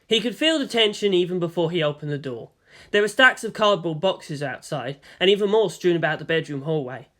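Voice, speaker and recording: A woman narrates scripted fiction. Her voice sits a little low, and her intonation moves widely and expressively from phrase to phrase. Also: animated, a little fast. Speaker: fan fiction reader and narrator.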